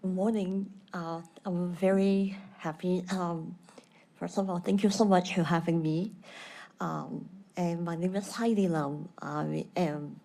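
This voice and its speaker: Creaky-voiced woman with a Hong Kong accent. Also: on the low side, steady tone, slow pace, warm tone. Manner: non-native English phrasing. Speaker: appointee addressing a committee. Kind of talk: prepared self-introduction at public meeting.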